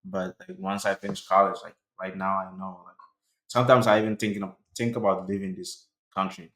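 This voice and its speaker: A man's voice: low-pitched, brisk. A young man from Sudan, speaking casually as an interview guest and filling his talk with "like" and "bro". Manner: relaxed, frequent "like" and "bro". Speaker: guest, cloud computing worker.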